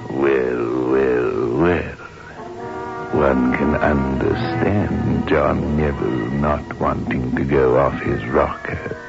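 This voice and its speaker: A man playing a sinister, gleeful horror radio host, with slow, droll, mock-ghoulish delivery in 1960s style. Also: extremely low pitch, steady tone, vocal fry, exaggerated voice. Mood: macabre amusement. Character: sinister, gleeful male horror show host with macabre puns. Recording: muffled old 1960s radio recording. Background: music.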